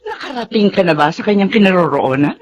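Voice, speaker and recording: A woman's voice: medium pitch, big pitch swings, a little fast, close to an everyday voice. A woman with a Filipino accent playing a willful, defensive widowed mother in a radio melodrama. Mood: defiant. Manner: defensive, imperious, exasperated. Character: stubborn, willful widowed mother with grown children. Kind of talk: melodramatic radio drama acting.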